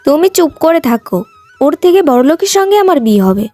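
A woman's voice: high-pitched, sing-song, a little fast, a little mellow, dry recording, soft background music. A woman voicing a vain, headstrong young woman in a cartoon folk tale. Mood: haughty, indignant. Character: vain young long-haired woman, haughty and quarrelsome, later humbled.

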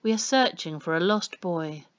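A woman's voice: lowish voice, moderate pace, no echo, lightly acted. Humble storybook father figure played by a woman, meek, apologetic, slightly muffled recording. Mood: pleading. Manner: meek, deferential. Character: humble, remorseful, scarecrow-thin man seeking lost boy.

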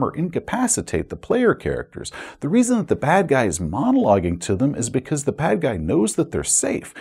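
An American man in his forties hosts a scripted explainer in an emphatic way. His pitch swings widely, and his voice is husky with a gravelly edge. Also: darkish tone. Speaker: host, game master. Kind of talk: scripted video essay to camera. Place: small home room with curtains and bookshelves.

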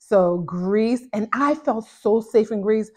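A chatty woman in her thirties, originally from Ghana, answers viewer questions in a relaxed, animated way. She talks to camera as a therapist host, close on a lapel mic in a small indoor room. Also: medium pitch, takes it slowly. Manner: chatty, animated, gestures a lot while talking. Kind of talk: casual Q&A chat to camera. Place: small indoor home office.

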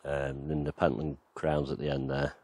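A man with a very deep, low voice talks his way around his allotment and garden. His delivery is relaxed and rambling, with plenty of ums and you-knows. He is outdoors, filming on a handheld camera. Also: moderate pace. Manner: relaxed, rambling, lots of fillers. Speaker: gardening vlogger. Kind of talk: casual walk-around garden tour.

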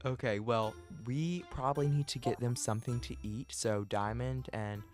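A man's voice: medium pitch, normal speed, close to an everyday voice. Man playing a bossy, chatty parent, music behind. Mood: instructing. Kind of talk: casual improvised online game roleplay.

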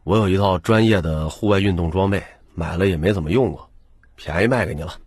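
Man playing a brash husky young office slacker. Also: sits low, brisk, no echo, studio quality. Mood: wheedling. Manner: brash, wheedling sales patter. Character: cheeky young male office slacker, slick salesman.

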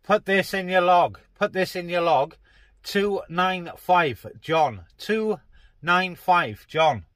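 A man's voice: high voice, takes it slowly, a bit bright, dry recording, studio quality. A man chatting casually as a CB radio operator, speaking colloquially and repeating phrases, inside a parked car.

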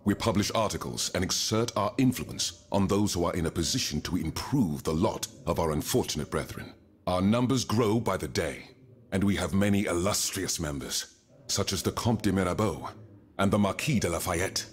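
A man's voice: low voice, lively intonation, moderate pace, a little hiss or hum. A man playing a proud, earnest, wealthy colonial reformer in a period game drama, speaking formally and eloquently, with gravity. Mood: solemn, explanatory.

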